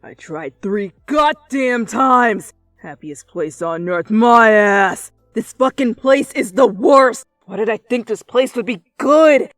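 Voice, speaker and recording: A woman voicing a hot-tempered, gruff young man who growls and swears in frustration, in an anime fan fiction reading. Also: a little high, lively intonation, takes it slowly, no room sound, studio quality, faint music behind. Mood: furious, exasperated.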